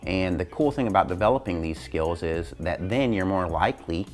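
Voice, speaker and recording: Low-voiced man presenting a school gym lesson. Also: lively intonation, normal speed, soft background music. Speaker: physical education teacher hosting a lesson video. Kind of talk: upbeat instructional video narration to students.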